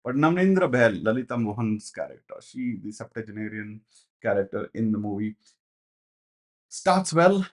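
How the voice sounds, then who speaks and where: A man, reviewing a film in casual, animated talk, with many fillers and restarts, in a small home studio. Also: on the low side, moderate pace. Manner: animated, gestures a lot, thinks aloud with many fillers and restarts. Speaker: movie reviewer.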